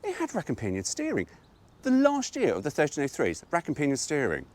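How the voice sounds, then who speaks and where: Lively, chatty British man. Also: a little high, fairly quick. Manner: chatty, wry asides, laughs at own jokes. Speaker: host reading a car brochure. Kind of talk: casual storytime reading.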